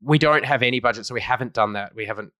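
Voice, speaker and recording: A man's voice: medium pitch, very fast, sharp tone, no room sound, studio quality. A man co-hosting a casual podcast, easygoing and joking, with mock-grand announcements of show segments. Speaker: podcast co-host.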